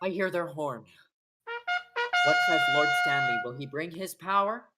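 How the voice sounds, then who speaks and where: A woman's voice: deep voice, a little slow, over loud music. A young woman playing a murderous, guilt-haunted king in a Shakespearean radio play, with theatrical, declamatory delivery. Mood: defiant, rousing. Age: young adult. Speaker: acting student.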